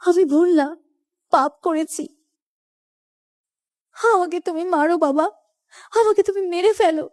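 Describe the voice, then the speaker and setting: An actress playing an emotional, remorseful young daughter in a horror cartoon story. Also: female voice, very high-pitched, a little slow, long pauses, darkish tone, no room sound, clean studio sound. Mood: remorseful pleading. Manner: pleading, emotional.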